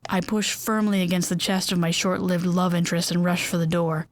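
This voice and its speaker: A woman's voice: lowish voice, fairly even intonation, fairly quick, dry recording. A woman reading first-person story narration, then chatting as the bubbly host of an in-world radio program, with rambling asides. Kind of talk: scripted audio drama narration and monologue.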